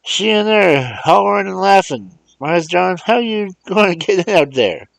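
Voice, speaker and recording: A man's voice: high voice, expressive, unhurried, gravelly, no room sound, voice pushed up, exaggerated voice, rough recording. Uncle Remus, a man doing an old rambling servant. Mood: astonished. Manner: drawling dialect speech, wondering. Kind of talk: audiobook character voice.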